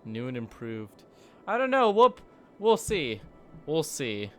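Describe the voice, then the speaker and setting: A man's voice: fairly high pitch, wildly swooping pitch, takes it slowly, fairly bright tone. A man streaming a city-building game, chatting casually and joking with sarcasm. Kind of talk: casual gameplay commentary.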